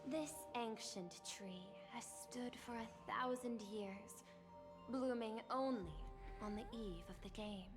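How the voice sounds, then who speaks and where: A woman voicing a cool, logical, reserved female executive in a video game, with deliberate and formal delivery. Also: fairly high pitch. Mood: ceremonial, poised. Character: cool, logical, refined woman, high-ranking corporate executive. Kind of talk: video game dialogue acting. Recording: long reverb, music behind game dialogue.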